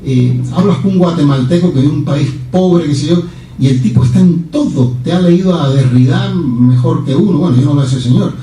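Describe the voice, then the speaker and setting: A Cuban-born man, a guest poet answering questions in a lively, wandering way, often checking for agreement with the listener. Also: fairly high pitch, a little fast. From Cuba. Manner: animated, digressive, asks for agreement with "¿no?". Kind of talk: discursive talk and Q&A answers. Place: lecture room. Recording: roomy hall, speaker far from the mic, faint hiss.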